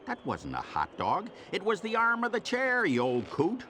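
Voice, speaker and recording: An actor playing a cranky, sarcastic, long-suffering aging sidekick superhero in a cartoon game. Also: male voice, sits high, huge pitch swings, moderate pace, bright, forceful tone, slight background noise, exaggerated voice. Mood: irritated. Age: older. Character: grumpy, exasperated aging male sidekick superhero. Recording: music behind the voice.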